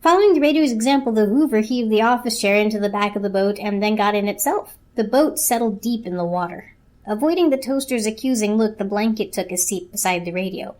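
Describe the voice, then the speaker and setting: A woman reading scripted story narration as a narrator, in a measured, literary storytelling manner. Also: mid-range voice, a little mellow.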